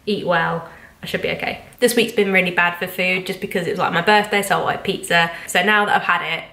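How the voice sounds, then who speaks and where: A woman's voice: on the low side, fairly even intonation, brisk, pushed and bright. Stuffy-nosed woman chatting to camera. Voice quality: stuffy nasal voice from a cold. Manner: chatty and rambling, many ums and likes. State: congested with a cold. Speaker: vlogger. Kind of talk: casual chat to camera. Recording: echoey room.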